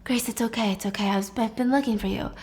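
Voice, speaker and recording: A woman storyteller puts on a manipulative husband who feigns concern. He speaks softly and wearily, placating with a condescending patience as if managing someone unreasonable. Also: on the high side, brisk, vocal fry. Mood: soothing. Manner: soft, placating, sighing, condescending.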